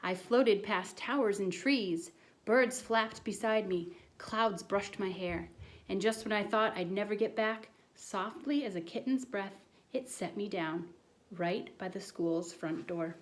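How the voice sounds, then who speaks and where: A woman voicing an earnest, excitable schoolboy who tells a tall storybook tale with dramatic insistence. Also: medium pitch, a little slow, natural voice. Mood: wondrous.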